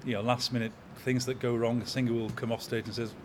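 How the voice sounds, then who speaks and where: Man, 60s, company manager type, measured storyteller, busy crowd murmur behind. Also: medium pitch, level tone. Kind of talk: interview. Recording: crowd chatter in background.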